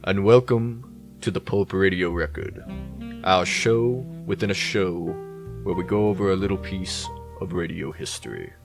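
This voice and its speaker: Very deep-voiced man hosting over guitar music. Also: a little slow, creaky. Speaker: radio show host. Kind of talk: scripted retro radio host narration.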